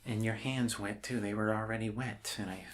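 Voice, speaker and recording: A man doing soft, improvised ASMR roleplay as a bumbling, friendly doctor, rambling and apologetic in a scatterbrained way. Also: fairly low pitch, steady tone, moderate pace, slight background noise. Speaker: ASMR performer playing a doctor.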